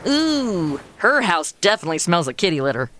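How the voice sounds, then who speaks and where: A woman's voice: on the low side, very animated, normal speed, a bit bright. A woman playing a flippant, sarcastic young American tourist with slangy modern delivery in a comedy audio drama.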